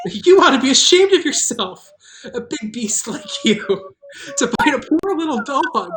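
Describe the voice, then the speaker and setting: A woman's voice: sits high, big pitch swings, unhurried, fairly bright tone, a little hiss or hum, pitched above the performer's own voice, heavily acted. A woman playing a plucky, protective young girl in a table read, earnest, by turns scolding and kindly. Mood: indignant scolding. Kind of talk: table read of an audio drama.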